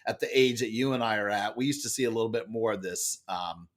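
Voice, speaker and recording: A middle-aged man with a loud voice, an author and speaker telling a story as a podcast guest, animated and expressive. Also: fast. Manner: expressive, acts out quoted lines with big jumps in pitch.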